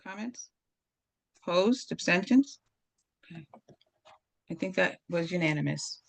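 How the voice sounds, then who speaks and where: A woman chairing a city committee meeting by remote call, running votes briskly. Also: fairly low pitch, lively intonation, gravelly, no room sound. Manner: brisk procedural.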